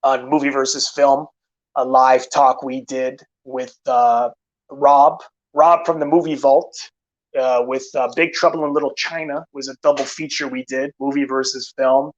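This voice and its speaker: Tired film-channel host, rambling casual chat full of fillers, mid-pitched man's voice, a bit dull and lo-fi. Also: level tone, a little slow. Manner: rambling, many "uh" fillers and restarts. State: tired, losing his voice. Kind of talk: casual live-stream chat.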